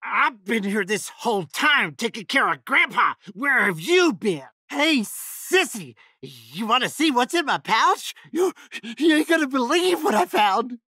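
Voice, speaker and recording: A sadistic young backwoods killer for a video game. His voice is very high for a man and husky, swinging up and down in pitch. He talks with a Texan drawl, giddy and twitchy, tripping over his words in a stammer. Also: measured pace, hardly any pauses, dry recording, clean studio sound, heavily acted. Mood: gleeful, eager. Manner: stammering, excitable, folksy. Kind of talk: video game character voice lines.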